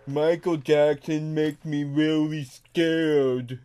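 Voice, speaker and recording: A man plays a childlike grown man who gets confused and upset easily. He talks extremely slowly in a smooth, slightly high voice, halting and insisting on the same words. It is prank-call comedy. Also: fairly even intonation, dark tone. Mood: timid, plain.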